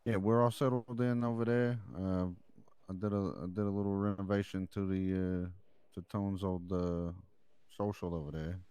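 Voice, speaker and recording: Man playing a deep, mellow mobster. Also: steady tone, normal speed, smooth, no echo, lightly acted. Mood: easygoing. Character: agreeable, newly promoted mafia crew member, easygoing. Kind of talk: improvised in-character roleplay dialogue.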